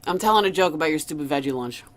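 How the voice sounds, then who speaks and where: An actress playing a brash, loyal security colleague, slangy and teasing, in a science fiction audio drama. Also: female voice, low-pitched, expressive, very fast.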